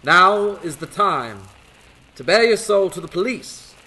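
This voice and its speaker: An actor playing a theatrical, taunting adversary who accuses a vigilante in an old-time radio drama. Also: male voice, high-pitched, animated, measured pace, bright, forceful tone, full character voice, poor audio. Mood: menacing, taunting. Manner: grandiose, taunting.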